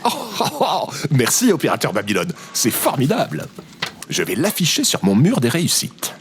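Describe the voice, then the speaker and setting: A man's voice: medium pitch, wildly swooping pitch, normal speed, slight background noise. A man playing a cheerful, earnest space station operator in an audio comedy, polite and naively enthusiastic. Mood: delighted, proud. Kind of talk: scripted audio comedy fiction acting.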